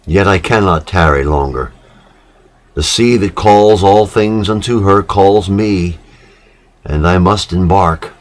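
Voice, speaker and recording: Guy with a low voice reading poetic stuff real slow, solemn, lots of pauses. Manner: measured, dramatic pauses between short phrases. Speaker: reader of poetic literature. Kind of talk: solemn literary recitation.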